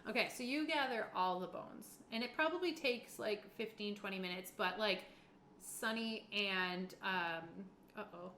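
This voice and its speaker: A woman's voice: mid-range voice, moderate pace, bright, forceful tone. A woman running a tabletop roleplaying game, narrating casually and off the cuff with a playful, giggly manner. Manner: giggly, uses playful sound effects. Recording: echoey room with clear background hiss.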